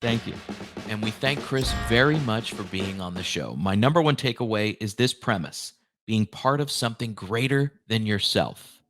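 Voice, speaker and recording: A man hosting a podcast, presenting in clear phrases with an affirming manner. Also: fairly low pitch, lively intonation, moderate pace, fairly bright tone, soft background music. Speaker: podcast host. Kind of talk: podcast interviewing and scripted outro.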